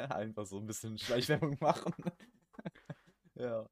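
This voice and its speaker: A man's voice: mid-range voice, lively intonation, takes it slowly. Young man chatting in repetitive bursts. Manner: repeats words and phrases in bursts. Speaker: podcast co-host. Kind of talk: casual chat.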